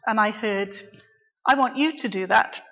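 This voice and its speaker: A middle-aged woman, a local preacher, giving a quiet, reflective personal testimony in church. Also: mid-range voice, unhurried. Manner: soft-spoken, reflective. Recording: dull, echoey church recording.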